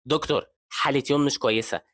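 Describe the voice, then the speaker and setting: A man's voice: mid-range voice, fast talker, fairly bright tone, dry recording, studio quality, lightly acted. A man with an Egyptian accent voicing a concerned female nurse who speaks urgently. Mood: worried. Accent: Egyptian Arabic.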